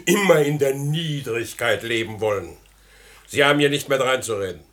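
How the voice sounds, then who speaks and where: A man's voice: medium pitch, expressive, normal speed, a bit bright, natural voice. An actor playing a pompous, foolish, blustering rich father in a 1960s German radio comedy. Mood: stubborn bluster.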